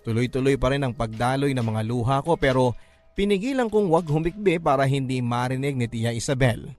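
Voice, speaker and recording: A man with a mid-pitched voice narrates a radio-style drama. His telling is measured and sorrowful, with music faintly underneath. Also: expressive, a little mellow. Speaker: story narrator.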